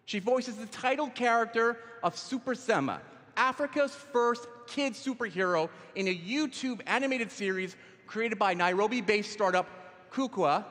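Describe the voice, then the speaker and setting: A man's voice: high-pitched, moderate pace, fairly bright tone. A man hosting a conference on stage, with playful, upbeat emcee patter. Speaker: event host. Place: large hall on stage. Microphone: stage microphone. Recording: hall reverb.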